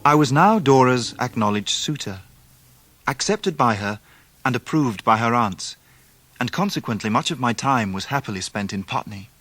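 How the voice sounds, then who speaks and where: An actor playing a reflective English gentleman who narrates his own past in measured, literary style for a radio drama. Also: on the low side, no room sound. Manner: measured, literary, well-spoken. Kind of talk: scripted first-person narration in radio drama.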